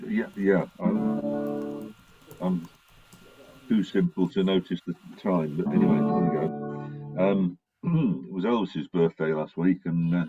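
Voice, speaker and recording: A man's voice: deep voice, expressive, takes it slowly, long pauses, music in the background. A man introducing his next song on a video call, wry and self-mocking. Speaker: folk club performer. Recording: dull lo-fi video-call audio.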